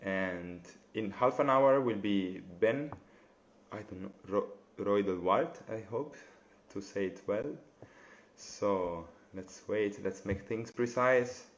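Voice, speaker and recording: A young man with an Italian accent, hosting a live interview over a video call, friendly and halting, with many fillers. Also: fairly low pitch. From Italy. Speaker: interviewer, bassoonist. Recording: dull lo-fi video call audio.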